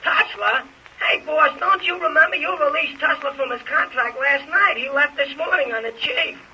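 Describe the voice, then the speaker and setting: A meek studio underling with a very high, piercingly bright voice. He is eager to serve, in old radio-comedy style, over a faintly noisy recording. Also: moderate pace, exaggerated voice. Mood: anxious. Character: meek, eager studio office underling, calls boss respectfully. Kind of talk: 1930s radio comedy acting.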